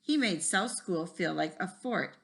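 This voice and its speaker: A woman's voice: fairly low pitch, animated, a little slow, gravelly. A woman voicing a friendly, easygoing male computer teacher in a storybook, with a folksy, explanatory way of talking. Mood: reminiscing.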